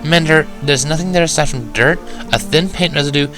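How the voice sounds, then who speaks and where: A man's voice: on the high side, brisk, over music, pitched above the performer's own voice, natural voice. A man voicing a bossy, skeptical young female unicorn scholar in a fan-fiction story reading, dry and lecturing.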